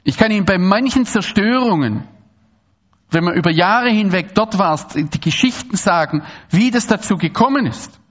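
A man from Swabia, giving an animated, digressive talk to an audience with frequent restarts and asides. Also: sits high, moderate pace, dull audio. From Swabia, Germany. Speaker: speaker giving a public talk. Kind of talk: lecture to an audience.

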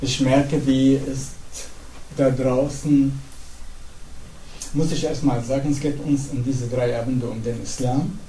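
A middle-aged man from Syria with an Arabic accent, a pastor giving an earnest, halting evening talk to a congregation. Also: mid-range voice, level tone, dark tone. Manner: earnest, halting, with pauses and fillers. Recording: echoey hall, distant mic, faint noise.

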